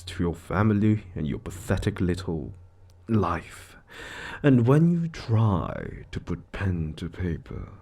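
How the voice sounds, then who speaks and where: A man's voice: low voice, warm tone, heavily acted. A man voicing a suave, gloating devil lord in an audio roleplay, theatrical and condescending, savoring his words as he toys with a mortal. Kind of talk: scripted audio roleplay monologue.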